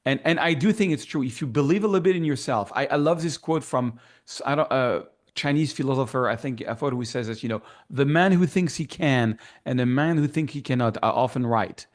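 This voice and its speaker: A middle-aged man with a French accent talks as an interview guest. His pitch sits in the middle range for a man. He rambles with real enthusiasm, restarting his sentences and leaning on fillers. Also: a little fast. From France. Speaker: guest photographer. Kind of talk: casual interview conversation.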